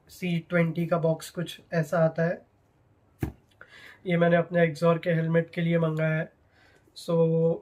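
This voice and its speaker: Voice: mid-range voice, darkish tone. A product reviewer with an Indian accent narrates an unboxing casually, switching between Hindi and English. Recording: clear background noise, handling clicks.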